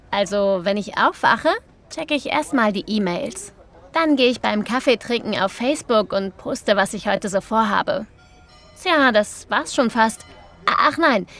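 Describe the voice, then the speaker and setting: A woman's voice: mid-range voice, fairly bright tone. A woman playing an easygoing, informal younger passer-by, chatting casually in a scripted language-lesson dialogue.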